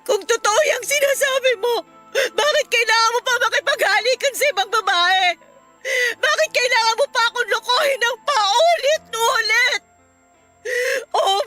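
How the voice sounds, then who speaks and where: A woman's voice: very high-pitched, sharp tone, exaggerated voice. A woman playing a heartbroken, long-suffering young girlfriend in a radio drama, in an emotional, pleading manner. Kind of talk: Tagalog radio drama acting. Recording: music behind the voice.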